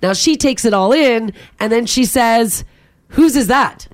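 A woman co-hosting a morning radio show, chatting lively, laughing often and reading listener texts and phone numbers. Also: on the high side, measured pace.

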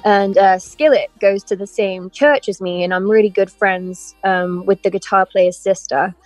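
A woman in her thirties with an English accent that has picked up an American lilt talks easily over a video call. She tells her stories in a chatty, animated way, and her voice sits dry and close with no room around it. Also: medium pitch, moderate pace, no echo, faint music behind, low-quality recording. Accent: mixed English and American. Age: 30s. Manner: chatty, animated storytelling with frequent laughs. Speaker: guest musician. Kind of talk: casual interview chat.

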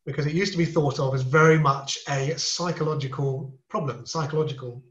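A man explaining throat complaints to a podcast host in a measured, reassuring way, with small restarts as he talks. He sounds like a seasoned specialist. The sound is roomy and a little dull. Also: fairly high pitch, fairly even intonation, a bit bright. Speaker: ear, nose and throat specialist guest. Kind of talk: podcast interview, explaining medical topics.

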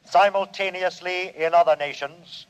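Man playing a formal, high-voiced humanoid alien invader. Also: steady tone, smooth, dull audio, natural voice. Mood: imperious, calm. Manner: formal, measured, commanding. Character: cold imperious humanoid robot invader from another world. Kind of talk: 1950s science fiction radio acting.

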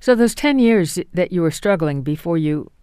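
A woman hosting a radio interview show, asking calm, measured questions. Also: fairly low pitch, smooth, dry recording, clean studio sound.

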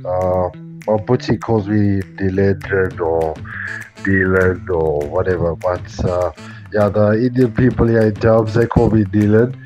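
A man's voice: sits low, level tone, unhurried. Nasal guy phoning into radio, laid-back, rambly, music under it. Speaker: caller. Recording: phone line into radio with music.

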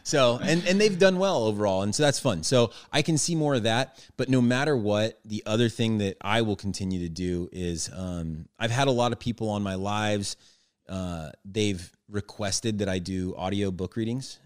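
Low-voiced man, hesitant and halting. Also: dry recording, clean studio sound. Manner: hesitant, repeats words. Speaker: guest, house painter. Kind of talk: casual podcast chat.

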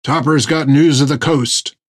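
A man's voice: a little high, steady tone, a little slow, breathy edge, dry recording, studio quality. A man voicing a skeptical male sailor who speaks bluntly. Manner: blunt, doubting. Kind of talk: audiobook character voice.

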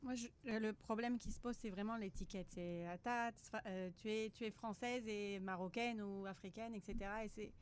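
A woman's voice: medium pitch, steady tone, moderate pace, hardly any pauses, smooth. A woman, a studio guest in a casual panel discussion, talkative and emphatic. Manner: talkative, emphatic, laughs easily.

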